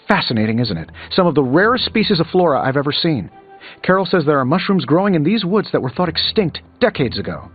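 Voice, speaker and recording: An actor with an American accent playing a cocky, witty billionaire inventor superhero in a video game, with glib, sardonic delivery. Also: male voice, fairly high pitch, very animated, fast talker, slightly rough, dull audio. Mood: chatty, joking. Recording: band-limited audio under game music.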